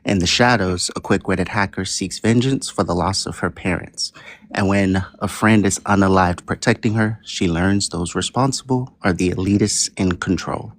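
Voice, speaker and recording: A man with a deep voice reads a scripted book blurb. His delivery is deliberate and dramatic, in the style of a trailer narrator.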